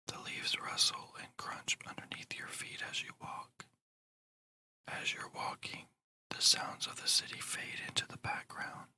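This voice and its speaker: Casting: ASMR meditation guide who whispers throughout, crisp and breathy, with slow, deliberate, soothing delivery. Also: pushed and bright. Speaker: ASMR channel host and meditation narrator.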